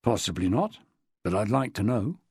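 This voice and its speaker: A narrator voicing a paunchy male police detective in an audiobook, dry, measured and persistent in questioning. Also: medium pitch, big pitch swings, darkish tone, breathy edge, no echo, full character voice.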